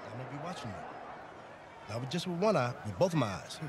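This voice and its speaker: An actor playing an eager, chummy middle-aged recruiting analyst in a video game cutscene. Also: male voice, mid-range voice, very animated, fast talker, close to an everyday voice. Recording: noisy outdoor stadium ambience.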